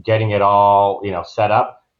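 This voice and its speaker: A man's voice: fairly low pitch, completely flat delivery, moderate pace, narrow, tinny audio. A man giving casual, easygoing, anecdotal answers as an interview guest. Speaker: travel agent, interview guest.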